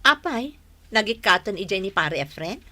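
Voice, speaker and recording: A woman's voice: mid-range voice, sing-song, moderate pace, bright, forceful tone. A woman acting in an Ilocano radio drama as a confident, frank small-business owner with an Ilocano accent. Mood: curious.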